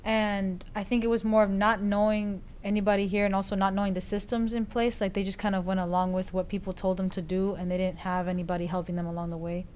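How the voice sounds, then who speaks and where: A woman's voice: mid-range voice, level tone, fast, few pauses, darkish tone, slight background noise. A sixteen-year-old girl from Oakland, speaking casually and chattily in a documentary interview. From Oakland, California. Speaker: student interviewee.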